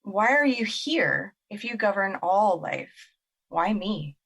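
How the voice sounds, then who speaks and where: A woman's voice: medium pitch, expressive, takes it slowly, vocal fry, fairly bright tone, full character voice. A woman playing a skeptical, bitter young girl in an audio drama, emotional and sardonic.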